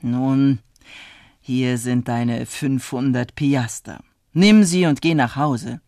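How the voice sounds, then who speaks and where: A narrator voicing a wise, kindly one-eyed judge who speaks softly and reassuringly in a folk tale. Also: mid-range voice, animated, dry recording, clean studio sound. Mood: calm, amused. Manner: soft, reassuring, measured.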